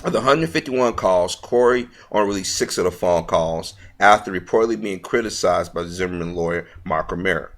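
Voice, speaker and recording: A man with a fairly low voice delivers online news commentary. He reads aloud with some stumbles over the words, and his manner is earnest and opinionated. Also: a little fast, hardly any pauses.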